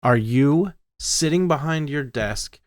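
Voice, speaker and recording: A man co-hosting a podcast in casual chat, rambling and animated, stumbling over restarts. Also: fairly high pitch, takes it slowly, no room sound, studio quality. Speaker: podcast co-host.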